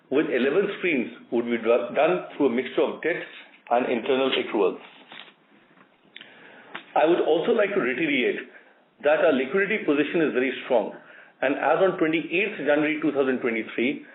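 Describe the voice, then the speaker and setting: A man with an Indian accent giving a formal, measured company briefing, reading out figures as an executive. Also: mid-range voice, slight background noise. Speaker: company executive presenting results. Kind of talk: formal scripted earnings briefing. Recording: narrow phone line, roomy echo.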